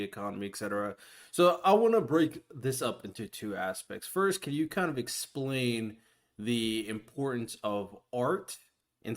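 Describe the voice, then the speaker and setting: A man hosting a podcast, talking in a conversational interviewing style. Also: fairly low pitch. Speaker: podcast host.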